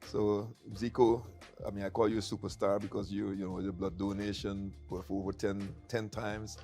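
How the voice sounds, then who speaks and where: A man's voice: lowish voice, level tone, moderate pace, mellow. A middle-aged man from Guyana, hosting a casual interview and often repeating words between fillers. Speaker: tea company founder hosting the conversation. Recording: light music in the background.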